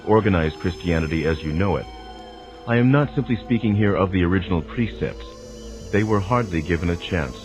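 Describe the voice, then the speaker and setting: A man narrates a scripted, dramatized spiritual teaching in a formal, measured, didactic way. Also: low voice. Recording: voice over prominent music. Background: music.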